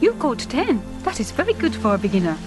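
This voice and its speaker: Proud young island diver, higher-pitched. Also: female voice, huge pitch swings, fairly quick, loud music behind the voice. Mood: encouraging. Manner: direct, formal phrasing. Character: proud, frank young Japanese island diving woman. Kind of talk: radio drama acting.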